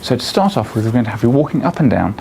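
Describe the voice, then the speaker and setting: A man's voice: medium pitch, sing-song, rapid-fire, vocal fry, noisy background. A man working as a doctor, giving polite, procedural step-by-step instructions during a clinical exam. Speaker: doctor examining a patient.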